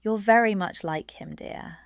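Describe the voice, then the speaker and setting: A woman plays a kind Victorian wife in an audio drama. Her voice is mid-pitched with marked creak. She talks gently and warmly, with a teasing streak. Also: a little slow, no room sound, clean studio sound. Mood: affectionate. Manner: warm, gentle. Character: warm, gently teasing married Victorian society lady. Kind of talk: period audio drama acting.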